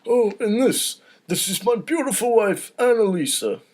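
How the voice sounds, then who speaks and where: A theatrical mafia Don, played by a man, pitched well up high and swinging widely. He is effusive and lovestruck, stretching out his words like a grand comedy mobster. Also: animated, darkish tone. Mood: gushing, besotted. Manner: effusive, drawn-out delivery. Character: gushing, theatrical mob boss Don, besotted with wife.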